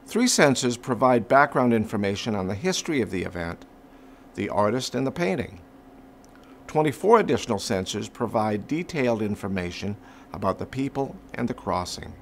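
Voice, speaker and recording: Older man presenting, lively varied pitch. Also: normal speed, a little hiss or hum. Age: 60s. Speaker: company co-founder presenting a product. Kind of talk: scripted product presentation. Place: indoor print workshop.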